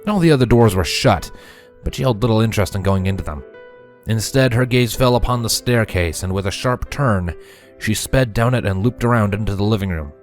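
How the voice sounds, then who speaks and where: A man giving an expressive scripted narration of a fan fiction story. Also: on the low side, a little fast, soft background music.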